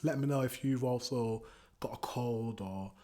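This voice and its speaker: A hoarse British man who is losing his voice, hosting a reaction video and thinking aloud in casual, rambling commentary with frequent restarts. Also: medium pitch, a little slow, dark tone. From Britain.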